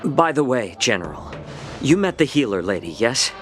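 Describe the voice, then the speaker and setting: Actor as a sly, genial healer, lively intonation. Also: male voice, medium pitch, moderate pace, music in the background, lightly acted. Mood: smooth, probing. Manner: polite, wordy, explanatory, gently teasing. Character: genial, sly male healer with a scholarly bent. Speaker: voice actor in a video game. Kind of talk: game cutscene character acting.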